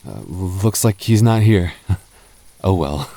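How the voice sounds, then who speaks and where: Man, young adult, very low voice, boyfriend roleplay, teasing, stammers when nervous. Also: lively intonation, slow, mellow. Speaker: voice actor playing a goth boyfriend.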